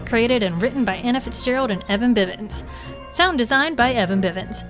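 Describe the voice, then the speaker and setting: A woman's voice: a little high, lively intonation, brisk, music in the background, rough recording. A woman announcer reading scripted show credits.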